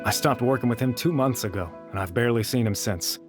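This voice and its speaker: A principled researcher, voiced by a man at a middle pitch, dry and matter-of-fact. Soft game music plays behind him. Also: fast talker, natural voice. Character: principled, aloof male researcher. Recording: game dialogue over music.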